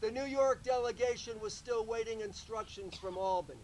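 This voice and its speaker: A man speaking to a gathered audience at a public ceremony, giving a loud, folksy history talk and joking with the crowd. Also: high voice, normal speed, noisy background.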